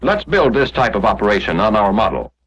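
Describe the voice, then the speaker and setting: American guy narrating an old 40s army training film, lively up and down pitch, dull muffled sound, explains stuff step by step. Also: fairly quick, breathy edge. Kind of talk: 1940s instructional film narration, scripted.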